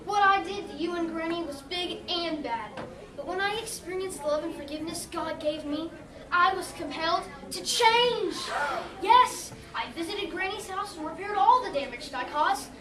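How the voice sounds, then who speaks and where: A very high, theatrical storybook wolf, earnest and apologetic played by a woman. The stage comedy voice is heard far from the mic in an echoey hall with an audience. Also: expressive, moderate pace, a crowd in the background. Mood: contrite. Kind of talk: youth stage comedy acting.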